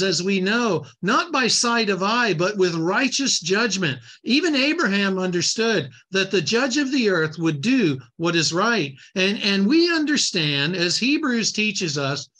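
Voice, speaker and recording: A man in his sixties delivers a Bible lecture, quoting scripture between looser asides. His voice sits high and sounds bright and clear. He often doubles words as he restarts a thought. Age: 60s. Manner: repeats words and restarts, reads scripture aloud. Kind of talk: Bible teaching talk.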